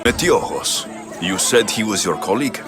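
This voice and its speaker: An actor playing a blunt, proud young Greek mercenary in a video game, terse and direct. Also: male voice, fairly low pitch, lively intonation, moderate pace, over loud music, a loud crowd, natural voice. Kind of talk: dramatic video game acting.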